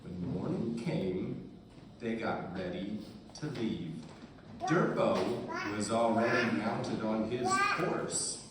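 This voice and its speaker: A man reads a scripted tale as a live puppet-show narrator. His pitch moves in big swings and he takes his time. The sound is very echoey and a little distant. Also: big pitch swings, takes it slowly. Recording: very echoey room, distant, faint noise.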